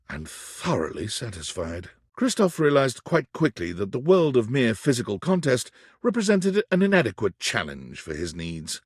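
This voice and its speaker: Male narrator for a story-driven game: expressive, slightly rough-voiced, wry dramatic storytelling, dry recording. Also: mid-range voice, no echo. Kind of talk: scripted second-person game narration.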